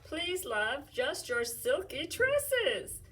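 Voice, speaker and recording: A woman voicing a young lovestruck male prince who shouts up to a tower in a loud, slow, drawn-out voice. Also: high voice, very animated, recorded from a distance, pitched above the performer's own voice, full character voice. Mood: calling upward.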